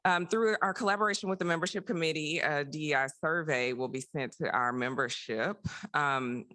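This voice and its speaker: A woman's voice: low-pitched, normal speed, bright, forceful tone. A young woman, a medical fellow, giving a prepared conference presentation as a committee report.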